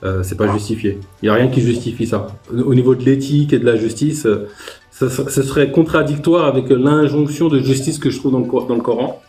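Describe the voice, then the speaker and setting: A man hosting a live online discussion, speaking hesitantly and reflectively, with many pauses. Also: medium pitch, mellow, reverberant, soft background music.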